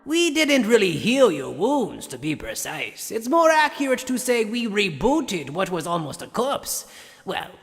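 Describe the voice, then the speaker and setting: A man's voice: high-pitched, huge pitch swings, measured pace, gravelly, fairly bright tone, exaggerated voice. A man voicing an arrogant, sadistic mad scientist in an anime fan dub, theatrical and condescending with mock politeness, recorded in long reverb.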